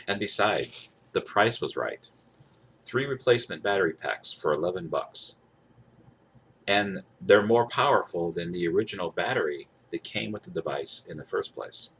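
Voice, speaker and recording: A man with a low voice talks casually to camera as he demonstrates a device. He rambles, circling back to the same points with emphasis. Some noise sits behind his voice. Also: fairly bright tone, low-quality recording. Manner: repeats himself, rambling, emphatic. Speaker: gadget reviewer. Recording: clear background noise.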